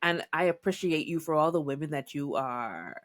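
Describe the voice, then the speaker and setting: A woman's voice: sits low, lively intonation, moderate pace, no echo, clean studio sound. A woman from the Bahamas hosting a podcast interview, effusive and encouraging in casual chat. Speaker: podcast host.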